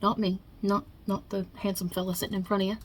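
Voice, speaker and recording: A woman voicing God as a wry, touchy Italian mob boss in an ASMR roleplay, with a husky, breathy voice and a put-on Italian-American New York accent. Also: mid-range voice, little pitch movement, quick pace, close to an everyday voice. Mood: wry, frank. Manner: casual, wry, chatty, with softly murmured asides.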